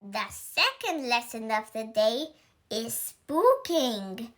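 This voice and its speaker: A woman's voice: on the high side, sing-song, slow pace, a bit bright, exaggerated voice. A woman voicing a harsh witch schoolteacher who snarls orders and mocks her pupils, in a storybook reading. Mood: commanding. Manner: snarling, mocking, commanding.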